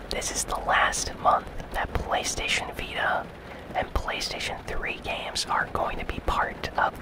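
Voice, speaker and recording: A host whispering casual gaming news in a soft, breathy, unhurried ASMR style. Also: extremely high pitch, rough recording.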